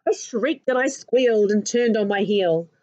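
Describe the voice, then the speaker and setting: A woman in her thirties with a New Zealand accent reads a children's picture book aloud with an expressive, sing-song rhyming delivery. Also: mid-range voice, normal speed, highs cut off. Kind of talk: storybook read-aloud for children. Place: living room at home. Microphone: webcam at arm's length.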